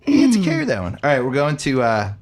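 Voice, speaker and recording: A man's voice: medium pitch, wildly swooping pitch, fast. A man from the Boston area hosting a podcast, chatty and opinionated, joking with his guest. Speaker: podcast host. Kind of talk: casual interview chat.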